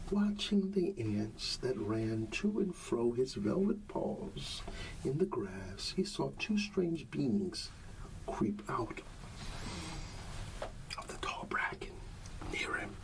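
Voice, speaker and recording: Man, ASMR bedtime storyteller, very slow, soothing, half-whispered, noisy recording. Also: lowish voice, animated, far from the mic. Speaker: ASMR storyteller playing a dad.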